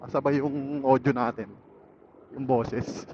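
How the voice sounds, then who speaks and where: A man's voice: medium pitch, steady tone, slow, a little mellow. A Filipino man casually vlogging to himself while riding, in a laid-back, musing way, speaking into a helmet intercom. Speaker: motorcycle vlogger. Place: outdoors, riding a motorcycle on a city road. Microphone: motorcycle helmet intercom mic. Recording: dull helmet intercom audio, faint road noise.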